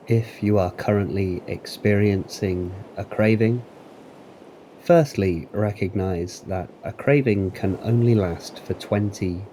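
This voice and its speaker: A man narrating a guided meditation in a calm, deliberate, soothing manner with long pauses. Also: on the low side, a little hiss or hum, poor audio. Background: waves.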